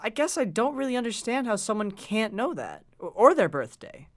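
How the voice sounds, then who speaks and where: A man's voice: sits high, big pitch swings, moderate pace. A man playing a polite, hesitant sixteen-year-old boy in an audio drama. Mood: curious, earnest. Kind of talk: nostalgic audio drama acting.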